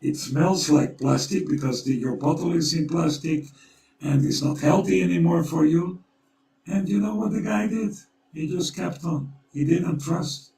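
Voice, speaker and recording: Slow, gravelly man with a Dutch accent. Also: fairly high pitch, warm tone, recorded from a distance. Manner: urgent, storytelling, repeats himself. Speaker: co-host. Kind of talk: earnest conversational appeal. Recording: echoey room, away from the mic.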